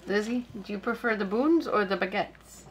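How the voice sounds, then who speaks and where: A woman's voice: mid-range voice, normal speed. A woman talking casually as the host of a family vlog. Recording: very noisy outdoor and vehicle ambience.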